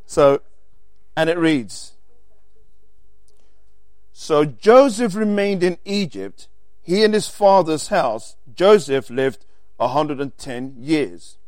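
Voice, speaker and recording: A man with an accent leads a sermon, reading passages aloud with a lively, swinging delivery. His voice sits a little high, and the sound is dry and close with no room echo. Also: takes it slowly, long pauses. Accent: non-native English. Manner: animated, reads scripture aloud, self-correcting asides. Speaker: preacher. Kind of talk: sermon teaching from scripture.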